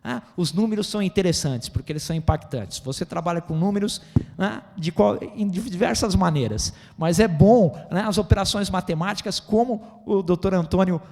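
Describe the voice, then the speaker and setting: Excitable Brazilian man lecturing in an auditorium. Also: sits high, brisk, creaky. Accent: Brazilian Portuguese. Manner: animated, gesticulating, excitable; constant "né?" tag questions. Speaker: seminar lecturer. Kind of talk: seminar lecture. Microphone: handheld microphone. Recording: reverberant auditorium.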